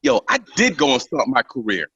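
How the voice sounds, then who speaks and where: A man's voice: medium pitch, wildly swooping pitch, fairly quick, gravelly, a bit bright, breathy edge, highs cut off, heavily acted. An actor playing a cocky, defensive young male singer in a radio drama.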